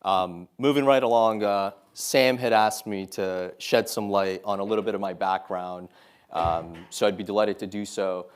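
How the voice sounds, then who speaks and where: A man in his thirties speaks to a live audience in a lecture room in a slightly low voice. He is earnest and measured, and he fills his pauses with frequent "uh" and "um". It sounds like a guest talk, not a script. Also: fairly even intonation, clean tone. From Saudi Arabia. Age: 30s. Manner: earnest, measured, many "uh" and "um" fillers. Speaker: guest speaker, Marine officer.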